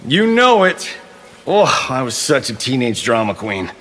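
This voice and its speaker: A flippant Hollywood fighter in a video game. His quips are theatrical and very lively in pitch, with a long reverb and clear background noise. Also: measured pace. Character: cocky, wisecracking Hollywood showman fighter. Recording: noisy game audio, hall reverb.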